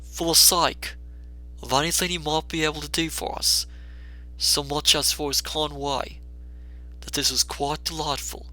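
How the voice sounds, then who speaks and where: A humble, affectionate storybook father, as a male reader plays him. He speaks slowly and earnestly, with a bright edge and heartfelt feeling. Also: on the high side, flat delivery, faint music behind, low-quality recording. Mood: heartfelt, admiring. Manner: earnest, warm, emotional. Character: kindly grieving Victorian father, humble clerk, affectionate.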